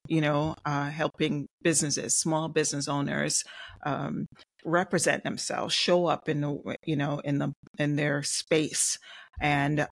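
A middle-aged woman with a light Jamaican accent chats as an interview guest. She speaks in a friendly, measured way and pauses often to find her words. The sound is dry and close, with no room echo. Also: sits low. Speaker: guest, web designer and digital marketer. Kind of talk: casual interview chat.

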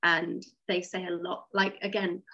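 A woman's voice: on the low side, level tone, measured pace, gravelly, sharp tone, breathy edge, dull audio. A woman speaking as a writer being interviewed, in a casual, conversational way. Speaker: interview guest, science fiction writer.

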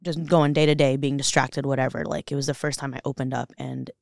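A young woman talks openly on a podcast, her voice catching in a gravelly fry. She circles back, restarts, and fills gaps with "like" and "you know." The sound is dry and close. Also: very deep voice, flat delivery, fairly quick, darkish tone, no room sound. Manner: earnest, emotional, many fillers and restarts. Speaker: podcast guest. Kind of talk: candid interview conversation.

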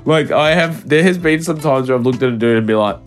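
A man's voice: medium pitch, quick pace, faint music behind. A young man co-hosting a podcast over a call, congested, laughing often and swearing as he chats casually. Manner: laughs often, swears, trails off mid-sentence. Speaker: podcast co-host. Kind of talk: casual chat. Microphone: remote call mic.

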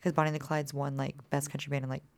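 A woman in her thirties with a low voice, chatting casually as a musician guest and filling her talk with "like". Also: little pitch movement, racing, no echo. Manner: chatty, peppered with "like" fillers. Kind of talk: casual interview chat.

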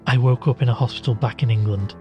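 A man playing a weary, confessional podcast host and shaken survivor in an audio drama, with measured, dryly understated delivery. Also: mid-range voice, dull audio, faint music behind, close to an everyday voice. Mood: sombre, confessional.

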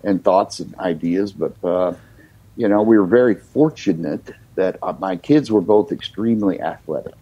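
Husky, low-voiced older man reminiscing. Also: moderate pace, dark tone, no room sound, highs cut off. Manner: reflective, leans on "you know" fillers. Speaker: guest, singer-songwriter. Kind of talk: relaxed conversational storytelling.

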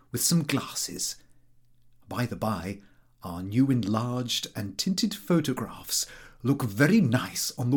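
A man's voice: mid-range voice, darkish tone, breathy edge. A man reading a comic diary as scripted narration, in a prim, fussy, precise manner. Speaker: audiobook reader.

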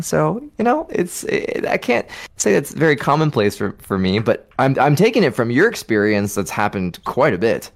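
Relaxed, mid-pitched male podcast guest. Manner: relaxed, thoughtful, many fillers and restarts, light laughs. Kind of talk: casual podcast chat.

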